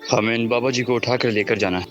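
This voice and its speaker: A man's voice: mid-range voice, fast, muffled recording, over loud music, close to an everyday voice. A man playing a resolute refugee leader. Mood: firm. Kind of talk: audio drama acting.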